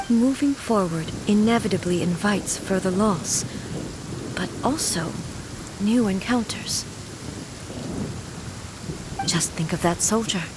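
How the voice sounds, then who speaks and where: A woman voicing a solemn, regal warrior god in a video game, speaking in a formal, measured, grave manner. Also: medium pitch, background noise, lightly acted. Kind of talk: scripted video game voice acting.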